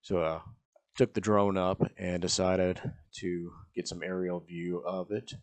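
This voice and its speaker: Brief: man, very low voice, casual explanatory voice-over for travel footage. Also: little pitch movement, a little slow. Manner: plain, explanatory, with frequent "uh"s. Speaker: travel vlogger. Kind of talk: voice-over narration of drone footage.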